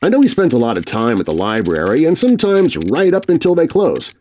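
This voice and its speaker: Male narrator, middle pitch with an animated range, expressive read of letters for a kids' scary podcast. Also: fairly quick, a little hiss or hum. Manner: expressive reading of letters aloud. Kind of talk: scripted storytelling narration.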